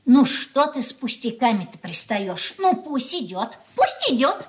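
A homely, old-fashioned mother from a Soviet radio drama. She has a high voice and speaks in an anxious, hesitant, folksy way. The room around her rings noticeably. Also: sing-song, unhurried, noisy background. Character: simple, flustered old-fashioned mother of grown children. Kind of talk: Soviet radio play acting. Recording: roomy 1980s radio drama studio sound.

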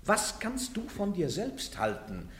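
An actor playing a cleric who pleads with a condemned woman to submit, shifting from soft to insistent. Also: high-pitched, huge pitch swings, takes it slowly, echoey room, slight background noise, heavily acted. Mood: pleading. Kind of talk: radio play acting.

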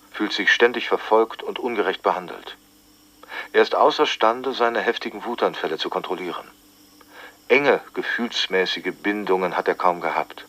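An actor playing a sober male psychiatrist who gives a formal assessment in a measured, matter-of-fact way. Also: on the low side, fairly bright tone. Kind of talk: formal expert report in radio drama.

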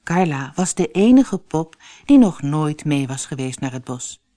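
Low-voiced, very expressive woman storyteller. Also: a little slow, no room sound, clean studio sound. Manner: expressive, clearly phrased storytelling. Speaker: storyteller reading a children's audiobook. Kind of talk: scripted fairy-tale narration for children.

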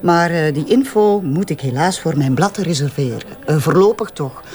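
A woman's voice: deep voice, expressive, moderate pace, a little mellow. A woman with a Flemish accent playing a shrewd, confident investigative tabloid journalist in an audio drama, with a knowing, teasing manner. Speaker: actress in an audio crime drama. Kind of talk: naturalistic audio drama dialogue.

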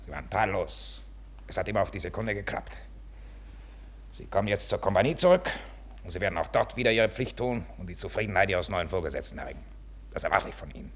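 An actor playing a dignified, formal Prussian army captain, terse and restrained, in an old German radio play. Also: male voice, low voice, expressive, fast, husky. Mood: stern, restrained. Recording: faint hiss of old radio recording.

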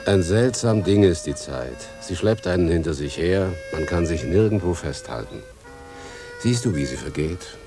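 A man's voice: sits low, expressive, moderate pace, over music. An actor playing a wistful fifty-year-old farmer and father in a radio play, ruminative, earthy and plain-spoken. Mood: wistful, brooding. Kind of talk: German radio drama acting.

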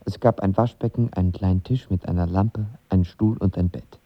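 A man's voice: deep voice, fairly even intonation, brisk, lo-fi sound, soft background music. An actor playing a lovestruck, insecure young actor who tells of his first love in a radio drama, intimate and colloquial. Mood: wistful narration. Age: young adult. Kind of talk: radio drama acting and first-person narration.

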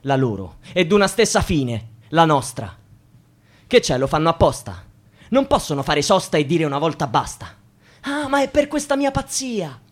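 Anxious young male student in a radio play, raspy, very animated intonation, rhyming wordplay. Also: a little high, normal speed. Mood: bitter. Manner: theatrical, wordplay-driven, repetitive. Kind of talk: Italian radio theatre, rhythmic rhyming monologue.

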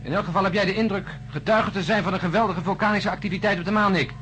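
An actor playing a seasoned astronaut in a science fiction radio drama, brisk, matter-of-fact and wry. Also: male voice, high-pitched, fairly even intonation, close to an everyday voice. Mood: alert, brisk. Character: seasoned, brisk male astronaut in charge aboard spacecraft. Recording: noisy drama recording with cabin ambience.

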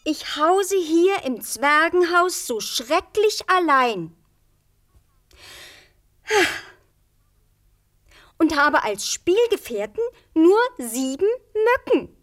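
A woman's voice: very high-pitched, very animated, slow, fairly bright tone, full character voice. A woman playing a naive, chatty, girlish princess in a fairy-tale radio play for children, artless and earnest. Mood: lonely, hushed.

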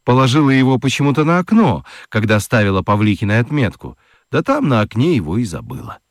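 A man narrating a scripted children's radio story in an expressive, dramatic storytelling manner. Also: mid-range voice, a little fast, no echo, clean studio sound.

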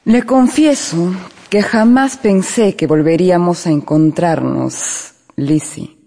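An actress playing a disdainful, unpleasant society lady with a creaky voice in a radio drama, in long reverb. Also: fairly low pitch, slow, dark tone, exaggerated voice.

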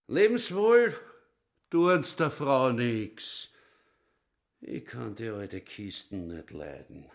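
An actor playing a brutish, blustering older Viennese caretaker with a gravelly voice in a radio drama. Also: male voice, mid-range voice, lively intonation, drawn-out words, heavily acted. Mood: contemptuous. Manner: coarse, blustering, dialect-tinged. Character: brutish, loud-mouthed, menacing older caretaker and father.

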